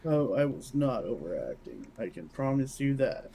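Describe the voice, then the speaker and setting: A man's voice: mid-range voice, big pitch swings, moderate pace, gravelly, crowd noise. A man co-hosting a podcast, talking in a casual, conversational way. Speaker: podcast co-host. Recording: faint background noise.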